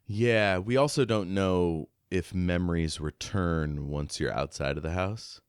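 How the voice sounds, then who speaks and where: A man's voice: low voice, unhurried, smooth, dry recording, studio quality. A man co-hosting a podcast, casually discussing a book in a reflective, slightly hesitant way. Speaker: podcast co-host.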